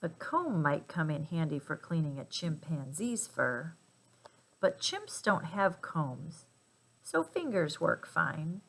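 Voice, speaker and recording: A woman reading a children's picture book aloud in an expressive, emphatic and playful way for young listeners. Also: low voice, takes it slowly, husky. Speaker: storybook reader.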